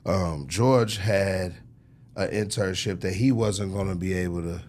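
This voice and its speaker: A middle-aged American man telling his story casually as an interview guest, in slangy conversational speech with frequent throat clearing. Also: sits low, measured pace, darkish tone. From United States, Chicago. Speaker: interview guest, record executive.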